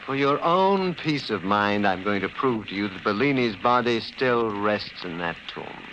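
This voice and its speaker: An emphatic, skeptical man in a vintage radio horror play. His commanding speech swings widely in pitch, over clear recording noise. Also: animated, dull audio. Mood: firm. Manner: emphatic, brisk, theatrical. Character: skeptical, commanding nephew dismissing supernatural fears. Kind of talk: 1940s radio drama acting. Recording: noisy old radio recording.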